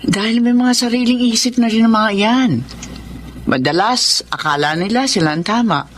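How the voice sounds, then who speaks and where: A woman's voice: a little high, moderate pace, slight background noise, close to an everyday voice. A woman playing a caring, practical middle-aged schoolteacher and mother in a radio drama, earnest and nurturing as she advises. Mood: earnest, advising. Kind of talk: Tagalog radio drama acting.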